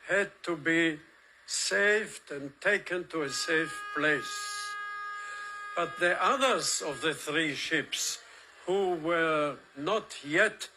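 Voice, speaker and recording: An older man giving eyewitness testimony in an interview, speaking in halting, deliberate phrases. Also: high-pitched, pushed and bright, slight background noise, poor audio.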